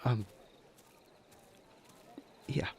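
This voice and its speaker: A man acting an anxious, kind young helper at a disaster in an audio roleplay, stammering heavily in a soft, hesitant voice. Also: drawn-out words, a little hiss or hum. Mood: nervous, hesitant. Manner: heavy nervous stammer, hesitant.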